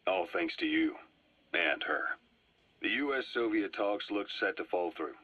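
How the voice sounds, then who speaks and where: A dull, echoing cassette tape carries a composed Soviet spy from a video game. His husky voice recounts history slyly and deliberately, pausing for drama. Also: sits low, animated. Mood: grave. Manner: measured, deliberate, with dramatic pauses. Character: sly, composed Soviet spy gunslinger, a measured storyteller. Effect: cassette tape filter.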